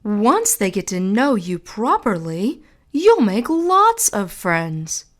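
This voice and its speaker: A male narrator voicing a gentle mother who reassures her daughter in a soothing way. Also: sits high, huge pitch swings, takes it slowly, voice pushed up, exaggerated voice.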